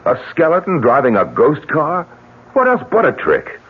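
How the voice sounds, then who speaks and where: An actor playing a blunt, practical businessman with a husky voice and a forceful, combative manner in an old radio drama. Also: fairly high pitch, huge pitch swings, normal speed, exaggerated voice. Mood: challenging. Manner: forceful, emphatic, rhetorical. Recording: tinny, narrow old radio recording.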